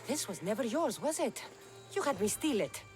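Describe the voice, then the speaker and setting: A woman's voice: on the high side, big pitch swings, moderate pace, a little mellow, faint music behind. An actress playing a tough, skeptical young female mercenary in a video game, with a dry, teasing, matter-of-fact delivery. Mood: skeptical, intrigued. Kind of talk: video game cutscene acting.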